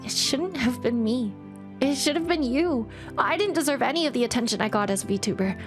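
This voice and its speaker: Woman doing a shy, halting young girl full of guilt, faint music underneath. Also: high voice, animated. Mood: remorseful. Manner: halting, apologetic.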